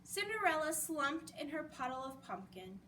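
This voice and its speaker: A woman reading a picture book aloud at a children's storytime, expressive and explaining things for young listeners. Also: high voice, normal speed. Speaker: library storytime reader. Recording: distant mic with faint background noise.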